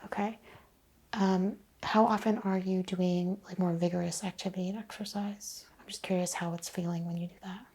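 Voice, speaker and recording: A woman playing an attentive, reassuring chiropractor in a soft-spoken ASMR roleplay, asking questions in a hushed, unhurried way. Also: lowish voice, little pitch movement, breathy edge. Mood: calm, attentive. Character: attentive, reassuring female chiropractor taking a history. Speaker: ASMR performer playing a clinician.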